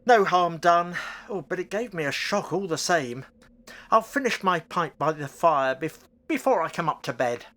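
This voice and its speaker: A man playing an anxious, excitable older father in an amateur radio horror play, with a theatrical, halting delivery. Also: fairly high pitch. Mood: easygoing, grumbling.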